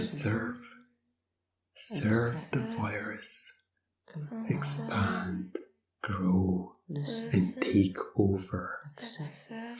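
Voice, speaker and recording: An echoey, slightly distant recording of a woman with a strikingly deep voice. She speaks as an ASMR hypnotist, breathy and often whispering, repeating her suggestions as hypnotic commands.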